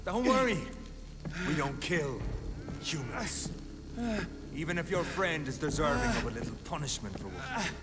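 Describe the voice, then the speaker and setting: A man's voice: fairly high pitch, lively intonation, a little slow, long pauses, very noisy. A man playing a hardened, scornful vampire hunter in a video game, blunt and menacing. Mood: scornful, smug.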